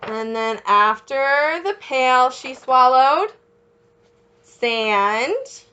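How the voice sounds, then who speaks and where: A woman reading a picture book aloud as a children's teacher, encouraging young listeners with questions and pausing for their answers. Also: high voice, animated, pushed and bright, clear, resonant tone, dull audio.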